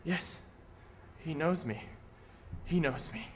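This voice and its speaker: A man's voice: fairly high pitch, slow, slight background noise. An actor playing a tearful, awestruck new father, stammering and repeating himself in old-time radio theatre. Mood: tearful astonishment.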